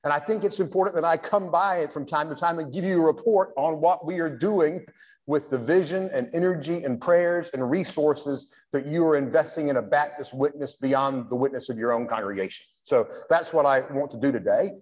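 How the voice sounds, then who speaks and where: A man in his sixties, a Baptist pastor, giving a church lecture in a deliberate, emphatic preacher's delivery with pauses. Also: a little high, a little mellow. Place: large church hall, speaking at a lectern. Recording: reverberant church hall.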